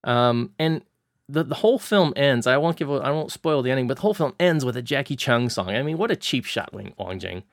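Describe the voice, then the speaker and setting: Gravelly man reviewing a film, dry sound. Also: mid-range voice, animated, no room sound. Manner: halting, stammering restarts. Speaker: film podcast co-host. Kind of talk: casual film review chat.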